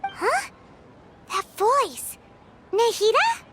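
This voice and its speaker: A woman voicing a tiny, excitable, childlike fairy companion in a video game, with a squeaky delivery. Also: extremely slow, slight background noise, full character voice. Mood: surprised. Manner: squeaky, speaks of self in third person.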